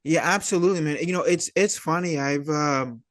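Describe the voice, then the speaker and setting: A man's voice: fairly high pitch, brisk, dry recording, studio quality. A man chatting casually as a filmmaker guest in an interview, hesitant and full of slang like dude and man. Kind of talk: casual chat.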